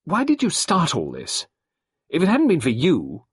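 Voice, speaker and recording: A man's voice: medium pitch, sing-song, normal speed, slightly rough, no room sound, studio quality, pitched above the performer's own voice. A man voicing a stubborn, argumentative young Englishwoman in a dramatised storybook reading, speaking with impatient emphasis. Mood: fierce.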